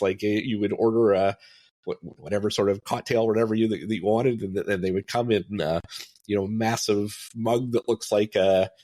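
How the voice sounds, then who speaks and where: Man, middle-aged, from Alberta, low-pitched, halting, dry sound. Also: darkish tone, no echo. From Alberta, Canada. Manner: halting, many restarts and fillers. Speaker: podcast guest, travel podcaster. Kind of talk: casual interview chat.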